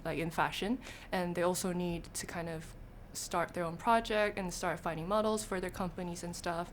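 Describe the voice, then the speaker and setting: A young woman talking about her studies and work in a casual documentary interview, relaxed and hesitant, with frequent fillers. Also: fairly low pitch, a little hiss or hum. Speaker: student interviewee.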